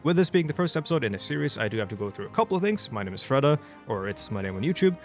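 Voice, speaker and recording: A man's voice: medium pitch, sing-song, rapid-fire. A young man from Norway with a Norwegian accent, whose first language is Norwegian, giving casual, chatty gameplay commentary as a let's play host and often stopping to restart his sentences. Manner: chatty and rambling, with frequent restarts. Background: faint background music.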